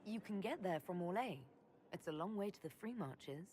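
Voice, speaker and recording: An actress playing a serious, composed female hero and leader in a video game, speaking in a measured way. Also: medium pitch, lively intonation, a little mellow, slight background noise, natural voice. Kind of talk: video game dialogue acting.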